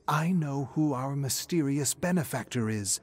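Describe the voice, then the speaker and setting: A man's voice: medium pitch, lively intonation, measured pace, breathy edge, pitched above the performer's own voice. A man voicing an insistent, probing young woman investigator in an audiobook story, with a pressing, questioning manner. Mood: urgent, revealing. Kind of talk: audiobook character acting.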